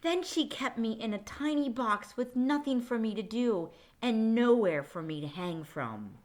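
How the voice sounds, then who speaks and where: A woman's voice: fairly high pitch, lively intonation, a little slow, darkish tone. Woman reading as an indignant little storybook beast. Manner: dramatic, aggrieved, emphatic. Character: small furry male beast, indignant, self-pitying and theatrical.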